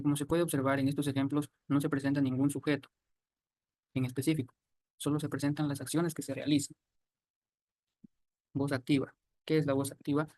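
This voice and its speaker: A man narrates a scripted lesson, explaining it methodically. His voice sits a little high for a man and stays very even in pitch, recorded dry and close. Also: dry recording. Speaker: presenter of a grammar lesson.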